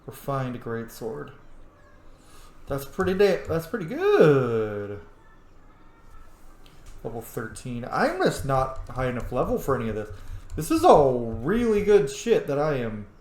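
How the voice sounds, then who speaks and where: A man's voice: mid-range voice, darkish tone, reverberant. A man giving casual, profane and joking commentary while playing a video game. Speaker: game streamer. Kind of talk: let's play commentary.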